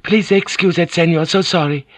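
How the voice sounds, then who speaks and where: A woman's voice: deep voice, fairly even intonation, no room sound, voice pushed up. A male narrator voicing a distressed, polite young Japanese noblewoman who stammers and halts. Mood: pleading. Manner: halting, stammering, courteous.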